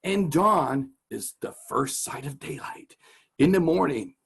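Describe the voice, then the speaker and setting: A man's voice: a little high, animated, unhurried, gravelly, fairly bright tone, slightly rough. A man hosting a casual vocabulary lesson as a teacher, chatty and jokey. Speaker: host, music teacher.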